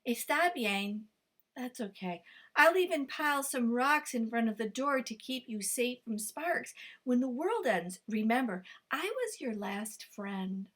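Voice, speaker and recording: Lady doing a high squeaky guinea pig, sly little trickster, all worked up and bright. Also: a little slow. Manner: pleading, high and excitable. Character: quick-witted male guinea pig trickster.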